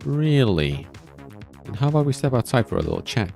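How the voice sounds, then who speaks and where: A man's voice: mid-range voice, big pitch swings, brisk, gravelly, darkish tone, soft background music, pitched above the performer's own voice. A man playing a hostile young punk in a visual novel, with a confrontational, taunting manner. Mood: taunting, threatening.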